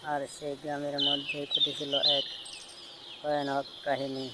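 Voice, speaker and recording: A man's voice: a little high, monotone, a little slow, heavy background noise. A man with a Bangladeshi accent narrating a scripted horror story. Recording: loud outdoor nature sounds behind voice.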